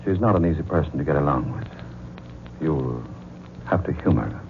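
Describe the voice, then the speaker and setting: An actor playing a guilt-ridden, middle-aged married man with an American accent, speaking in a measured, confiding 1940s radio style. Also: rumbling low, lively intonation, slight background noise. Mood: suave, confiding. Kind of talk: 1940s radio mystery acting, first-person narration and dialogue. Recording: muffled vintage radio broadcast.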